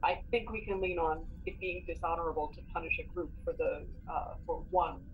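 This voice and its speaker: A woman's voice: lowish voice, a little fast, narrow, tinny audio, a little hiss or hum. A woman chatting casually as a player in a collaborative tabletop game.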